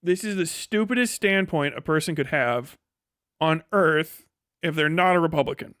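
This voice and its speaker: Higher-voiced man, profane live political ranting. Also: moderate pace, fairly bright tone, smooth, dry recording, studio quality. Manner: profane, scornful, emphatic. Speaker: live streamer giving political commentary. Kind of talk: casual ranting political commentary to a live audience.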